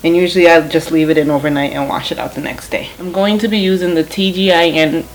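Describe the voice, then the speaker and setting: Low-voiced rambling woman in her twenties. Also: fairly even intonation, quick pace, slight background noise, poor audio. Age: 20s. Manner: rambling, repeats herself, many fillers. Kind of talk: casual chat while doing her hair.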